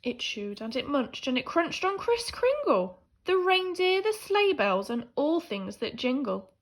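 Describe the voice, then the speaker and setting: A woman teacher reading a children's picture book aloud in an animated, theatrical way, swinging between hushed and emphatic delivery. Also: high voice, measured pace. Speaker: primary school teacher reading a story.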